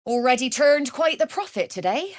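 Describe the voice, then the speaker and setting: A woman's voice: high voice, very animated, normal speed, no echo, clean studio sound. A woman playing a shrewd, worldly smuggler and trader in a video game, speaking in a sly, wry, businesslike manner. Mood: sly, pleased. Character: shrewd, worldly female smuggler-merchant of a criminal trade network. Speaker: trader and guild agent. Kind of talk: video game character dialogue.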